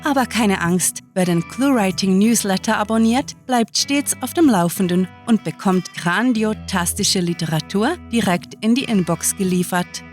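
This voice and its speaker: Woman reading a scripted podcast outro, mid-pitched. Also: normal speed, few pauses, faint music behind. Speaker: podcast host.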